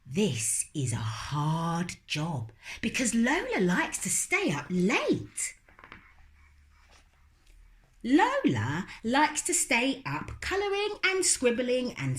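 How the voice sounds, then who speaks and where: A woman reading aloud as a storybook narrator, in an animated style made for children. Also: on the high side. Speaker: storybook reader.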